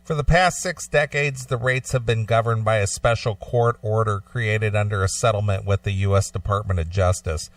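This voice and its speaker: A man with a fairly low voice co-hosts a radio talk show, moving between reading news copy and casual discussion. The sound is dry, and he sits a little back from the microphone. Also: fairly even intonation, normal speed, few pauses, dry recording, distant mic. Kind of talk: reading news aloud and chatting about it.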